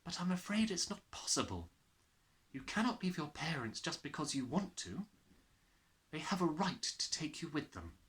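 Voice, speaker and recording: A kindly storybook schoolmistress, played by a man, speaks in a soft and slightly raised voice. She is calm, measured and tender, with a reassuring manner. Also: a little high, pitched above the performer's own voice. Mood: regretful. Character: gentle, kindly young woman teacher, calm and reassuring.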